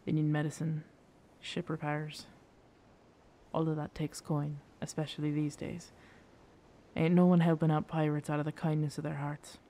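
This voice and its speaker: An actor playing a gruff, guarded yet tender pirate captain in an intimate ASMR roleplay, speaking haltingly. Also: medium pitch, flat delivery, dark tone, lightly acted. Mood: matter-of-fact, grim. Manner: slow, hesitant, halting, rough pirate phrasing. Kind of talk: ASMR roleplay audio drama, intimate second-person scene.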